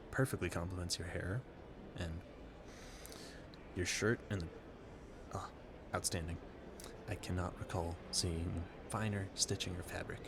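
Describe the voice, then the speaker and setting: Man acting as creaky, flustered gentleman admirer. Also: low-pitched, noisy background. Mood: charmed, admiring. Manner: effusive, rambling, stammering, formal yet awkward. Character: polite, flustered gentleman guest at a Gilded Age ball. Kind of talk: audio roleplay addressed to the listener.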